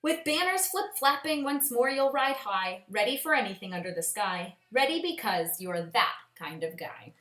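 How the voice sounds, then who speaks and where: High, animated woman reading a picture book. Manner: dramatic, sing-song storytelling delivery with emphatic pauses. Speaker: teacher reading aloud. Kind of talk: expressive picture-book read-aloud. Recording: echoey room sound.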